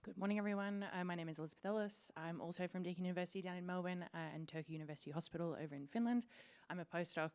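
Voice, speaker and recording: A woman who is a postdoctoral researcher, introducing herself at a conference in a brisk, fluent way. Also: lowish voice, darkish tone.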